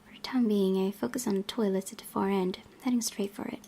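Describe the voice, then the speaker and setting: A woman's voice: mid-range voice, steady tone, fast, vocal fry, dark tone, slight background noise. A woman hosting a gaming channel, reading a visual novel's narration aloud with occasional asides.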